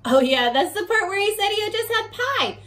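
A young woman leading a read-aloud lesson for children, talking to the camera in an animated, encouraging, child-directed way. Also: very high voice, a little fast. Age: young adult. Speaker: children's teacher. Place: bedroom at home, indoors.

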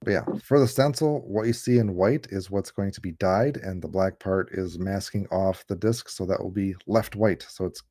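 A man's voice: sits low, moderate pace, hardly any pauses, mellow, no room sound, studio quality. A man co-hosting a live stream in a casual, chatty way. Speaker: co-host. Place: indoors on a video call. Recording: clear background noise, clicks.

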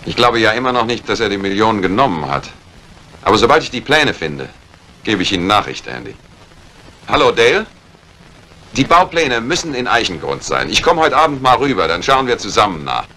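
A man's voice: fairly low pitch, very animated, a little fast, sharp tone. An actor playing a businesslike, talkative man in a retro radio crime drama. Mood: pondering. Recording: noisy old radio recording.

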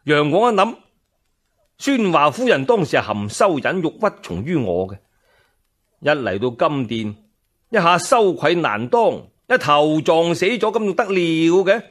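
A man's voice: on the high side, big pitch swings, unhurried, a bit bright. A man with a Cantonese accent narrating as a storyteller in a dramatic, traditional radio style.

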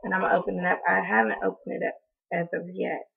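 A young woman from North Carolina, hoarse from allergies, chatting casually in a haul video with lots of ums and apologies, recorded on a close webcam in a small room. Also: mid-range voice, level tone, fast. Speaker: beauty vlogger. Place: small indoor room, in front of a door. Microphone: webcam at close range. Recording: tinny, phone-like sound.

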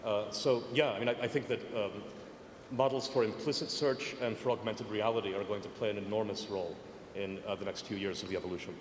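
A man's voice: lowish voice, fairly even intonation, fast talker. A man speaking energetically on a conference panel, with many fillers. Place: large conference hall. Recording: echoey conference hall, faint noise.

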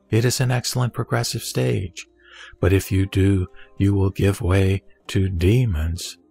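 A man reading a translated Buddhist sutra aloud in a measured, deliberate way. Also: on the low side, vocal fry. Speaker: scripture reader. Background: soft background music.

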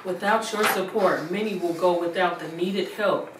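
A woman's voice: fairly low pitch, moderate pace. A woman, an actress, speaking in a rehearsed appeal for a stage play. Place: indoor living room. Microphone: distant camera microphone. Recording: echoey room, distant mic, clear noise.

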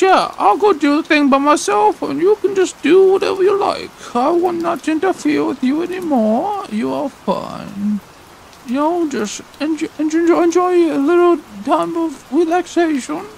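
An actor playing an eager young woman in a comedy game redub. Her voice is very high and lively, with some creak. She rambles chirpily, apologizing and correcting herself as she goes. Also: normal speed, slight background noise, low-quality recording. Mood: chirpy.

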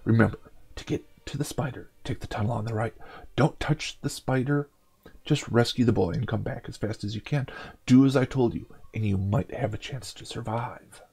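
A man's voice: medium pitch, moderate pace, creaky, darkish tone, husky. A man voicing a sad, bulky blacksmith who earnestly asks for help in a storybook game. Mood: instructing. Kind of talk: storybook game read-aloud.

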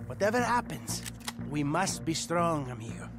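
An actor playing a solemn, fervent revolutionary and former sharpshooter in a western game. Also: male voice, a little high, very animated, normal speed, gravelly. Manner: solemn, impassioned rhetoric. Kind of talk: dramatic western game acting. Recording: music under the voice.

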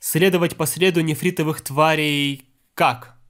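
Man with higher-set voice, dry critique narration. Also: fairly even intonation, measured pace, a bit bright. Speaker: video essayist. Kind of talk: scripted sarcastic film-critique narration.

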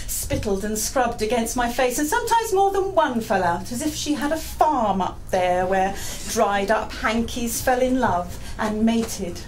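Higher-voiced English woman reading poetry live. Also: poor audio. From England. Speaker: poet. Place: small dim pub room with seated audience. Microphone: handheld-type microphone on a stand. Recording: live venue microphone with background noise.

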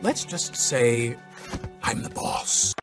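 A man voicing a bossy, cynical baby boss in an animated film, curt and deadpan with a gravelly voice. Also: medium pitch, very slow, exaggerated voice. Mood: smug. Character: bossy suit-wearing baby boy, cynical corporate boss. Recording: music under dialogue.

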